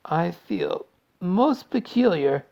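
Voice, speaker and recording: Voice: medium pitch, huge pitch swings, normal speed, slightly rough, muffled recording, voice pushed up, full character voice. A performer voicing a brash, stubborn young girl in a storybook, boastful and gushing with emphasis. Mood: queasy.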